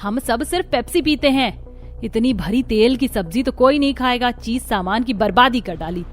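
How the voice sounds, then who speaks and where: A woman voicing a sharp-tongued older mother-in-law who nags and scolds in a cartoon story. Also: fairly high pitch, lively intonation, normal speed, soft background music, close to an everyday voice. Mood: scolding. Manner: nagging, idiom-heavy scolding.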